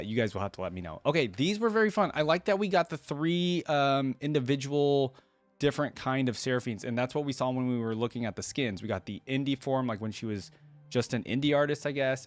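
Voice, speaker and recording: A man's voice: medium pitch, quick pace, no room sound. A man hosting a reaction video with casual, rambling, enthusiastic commentary.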